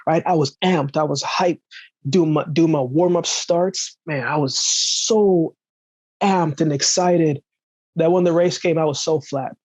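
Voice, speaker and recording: A man's voice: on the high side, unhurried, a little mellow, no room sound. A man from Jamaica telling stories as a podcast guest, easygoing and laughing often, often checking in with "right?". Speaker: podcast guest, former sprinter. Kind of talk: conversational storytelling.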